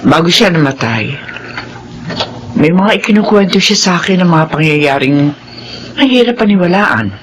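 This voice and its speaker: A woman's voice: fairly low pitch, a little hiss or hum. A woman with a Filipino accent playing a worried, questioning mother in a radio horror drama. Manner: anxious, questioning. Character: worried older mother, anxious and probing.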